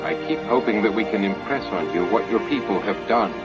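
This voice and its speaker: A man voicing a stern, probing starship captain in an audiobook, in a measured, challenging manner. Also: on the low side, muffled recording, loud music behind the voice, natural voice. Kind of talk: audiobook character dialogue.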